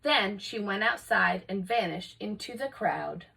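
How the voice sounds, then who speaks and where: A woman narrating a children's picture book aloud in an expressive, scripted read-aloud style, picked up from slightly off the microphone. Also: medium pitch, unhurried, vocal fry, distant mic. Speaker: storybook reader for remote learning.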